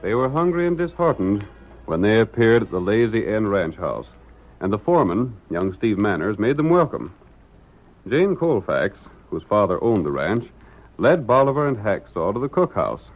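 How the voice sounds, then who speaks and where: A man's voice: fairly low pitch, sing-song, normal speed, faint music behind. A man with an American accent narrating a vintage radio western in a dramatic, declamatory announcer style. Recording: muffled vintage radio recording.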